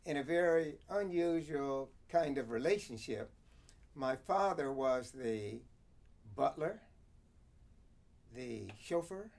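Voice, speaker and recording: Male, 70s, American, for oral history recollection; unhurried delivery, formal and measured wording. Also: mid-range voice, gravelly, a little mellow. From Connecticut, USA. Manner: deliberate, measured, formal phrasing. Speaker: interviewee, former attorney.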